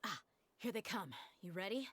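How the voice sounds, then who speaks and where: An actress voicing a blunt, tough young mercenary leader in a video game, speaking directly and boldly. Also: female voice, mid-range voice, expressive, a bit bright, a little hiss or hum, lightly acted. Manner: direct, bold, quick to laugh.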